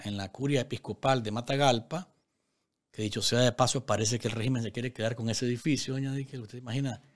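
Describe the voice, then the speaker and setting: Indignant news commentator man. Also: medium pitch. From Nicaragua. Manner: indignant, emphatic, talks straight to viewers with many fillers. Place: small TV studio, indoors. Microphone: desk microphone on stand.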